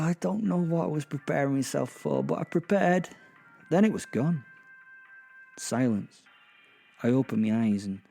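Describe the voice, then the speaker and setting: A remorseless werewolf, played by a man, narrates a dark audio drama in the first person. The voice is dark and mellow, wry and cocky, musing deliberately over faint music. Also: medium pitch, gravelly, exaggerated voice. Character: remorseless male werewolf killer, wry, cocky, centuries old. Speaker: narrator and protagonist.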